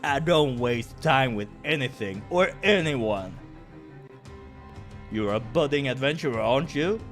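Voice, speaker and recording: A man voicing a huge, intimidating, hot-tempered wolf adventurer in a low, throaty growl, blunt and taunting, for a visual novel. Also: animated, unhurried, a bit bright. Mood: stern, teasing. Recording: music behind the voice.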